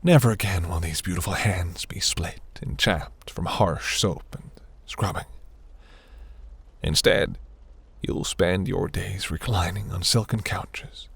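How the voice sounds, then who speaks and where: A man playing a seductive, imperious demon prince in a fantasy audio roleplay, speaking in a silky, commanding, breathy murmur. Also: slow pace, no echo, heavily acted. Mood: tender, possessive. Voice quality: low, gravelly, breathy.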